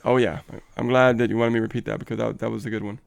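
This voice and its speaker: A man's voice: lowish voice, level tone, racing, gravelly. A man co-hosting a casual live coaching stream, upbeat and motivational, laughing often and reading viewer comments aloud.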